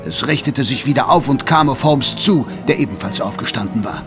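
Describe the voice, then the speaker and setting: A man's voice: mid-range voice, lively intonation, fairly quick, creaky. Male audio drama narrator over music.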